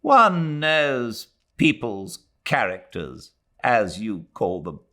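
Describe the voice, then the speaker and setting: A man's voice: mid-range voice, very animated, drawn-out words, sharp tone, pitched above the performer's own voice, full character voice. A man voicing an irritable, weary old Englishwoman from a novel, disdainful and brooding, with a rambling, emphatic delivery. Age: older.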